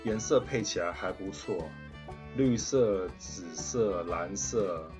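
Casting: middle-aged male vlogger, low-pitched travel voice-over over prominent plucked guitar. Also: slow pace. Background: plucked guitar music.

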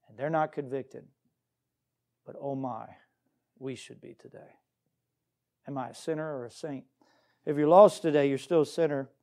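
A male pastor delivers a church sermon in a fairly high, mellow voice. He is earnest and exhorting, moving between scripture and direct questions to the congregation. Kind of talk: Baptist sermon with scripture reading.

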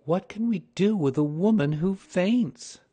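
Brief: prim shocked lady, high and loud exclamations, played by a man. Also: unhurried, slightly rough. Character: fussy genteel mother, easily shocked.

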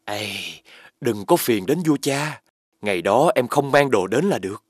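An actor playing a scheming, boastful elder prince in an animated fairy tale, smug and condescending. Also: male voice, medium pitch, unhurried, no echo, studio quality. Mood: slyly condescending.

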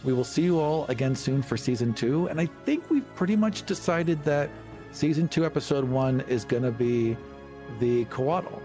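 A man's voice: mid-range voice, animated, moderate pace, mellow, faint music behind. A man co-hosting a podcast, talking casually about tabletop games. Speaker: podcast co-host.

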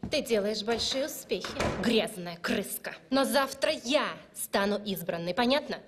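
A woman voicing a spiteful young ballet rival with a breathy, sneering, taunting delivery in a dubbed cartoon. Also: on the high side, expressive, normal speed, noisy background, lightly acted. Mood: contemptuous. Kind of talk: dubbed animated film dialogue.

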